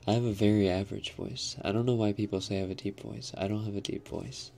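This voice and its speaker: A young man hosting an ASMR live stream, answering chat in a soft, half-whispered, slightly raspy voice and laughing often. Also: lowish voice, fairly even intonation, fast talker. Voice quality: a little raspy.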